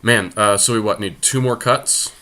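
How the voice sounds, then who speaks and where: Bright, low-voiced man talking through card choices. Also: moderate pace. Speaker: podcast host playing a card game. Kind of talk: casual deckbuilding commentary.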